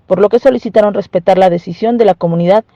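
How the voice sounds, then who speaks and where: A woman's voice: mid-range voice, fast talker, dry recording, lo-fi sound. A woman with a Mexican accent reading a scripted news report as a reporter.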